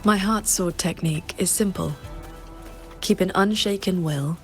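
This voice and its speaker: A stoic swordswoman mentor from a video game, speaks formally in a lowish voice. The delivery is measured and restrained, with clear music underneath. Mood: solemn. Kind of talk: video game character dialogue.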